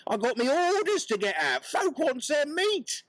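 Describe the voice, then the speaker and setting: A man's voice: extremely high pitch, huge pitch swings, measured pace, fairly bright tone, dry recording, pitched above the performer's own voice, heavily acted. Man putting on a brash butcher's wife. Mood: bossy. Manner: brash, scolding, lays down the law. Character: fierce London butcher's wife, loud and bossy.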